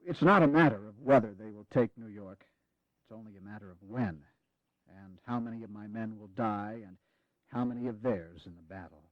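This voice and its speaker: An actor playing a grave, courteous American Revolutionary general in an audio drama, speaking in a measured, formal way. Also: male voice, lowish voice, no echo, close to an everyday voice. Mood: grave, resolute. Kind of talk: dramatized history audio acting. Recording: dull, lo-fi 1980s audio drama.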